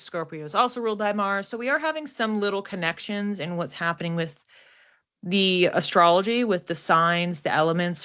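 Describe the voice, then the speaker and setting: A woman's voice: lowish voice, fairly bright tone, clear, resonant tone. A woman giving a casual, unscripted card and astrology reading, thinking aloud in a reflective, conversational way. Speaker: oracle card reader and astrology host.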